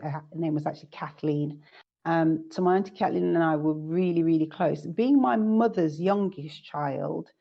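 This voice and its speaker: A woman with a very low, gravelly voice talks about her family at an easy, hesitant pace, often trailing into ums. Her tone is dark and mellow, and the recording sounds dull and lo-fi. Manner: hesitant, many ums and restarts, laughs easily. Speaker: guest. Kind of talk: casual interview chat.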